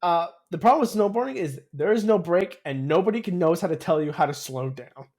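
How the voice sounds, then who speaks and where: A man's voice: high-pitched, expressive, brisk, a little mellow. A young man co-hosting a podcast in casual chat, often repeating himself as he tells anecdotes. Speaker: podcast co-host.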